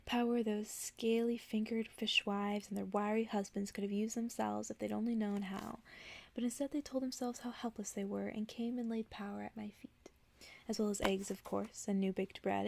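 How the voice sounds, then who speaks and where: A woman reading a bedtime story in ASMR style, softly and breathily, often dropping into a whisper, slow and careful. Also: mid-range voice, steady tone, vocal fry. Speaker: ASMR narrator.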